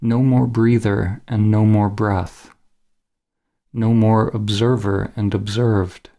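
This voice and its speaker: A man narrating a scripted guided meditation in a measured, solemn, instructional manner as the guide. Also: on the low side, level tone, warm tone.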